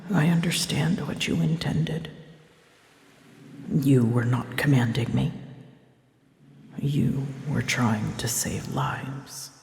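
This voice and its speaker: A guarded, wounded she-dragon played by a woman speaks in a breathy whisper with creaky edges. Her solemn, formal lines come extremely slowly and haltingly, ringing in long reverb, as in an intimate fantasy ASMR roleplay. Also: rumbling low, darkish tone, a little hiss or hum, heavily acted. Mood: firm, consoling. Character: prickly, wounded female dragon, decades old, guarded. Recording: echoey, long reverb tail.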